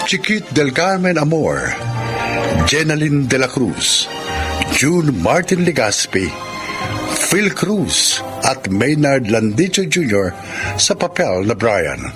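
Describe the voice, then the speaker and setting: A man with a Filipino accent, narrating a radio drama and announcing its credits in a scripted style. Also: medium pitch.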